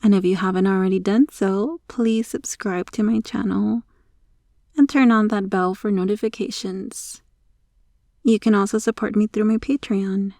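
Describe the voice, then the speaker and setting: A woman's voice: medium pitch, steady tone, normal speed, warm tone, no echo, studio quality. A woman hosting an ASMR channel, speaking a friendly scripted sign-off straight to her viewers.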